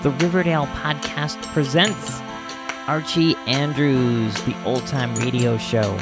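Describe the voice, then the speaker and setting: A man hosting a podcast with a casual, halting, rambling introduction. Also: medium pitch, fairly even intonation, loud music behind the voice. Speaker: podcast host.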